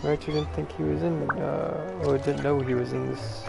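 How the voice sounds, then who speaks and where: Gravelly, flat-toned man commenting on a game. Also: a little high, moderate pace. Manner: musing to himself. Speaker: game streamer. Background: game music.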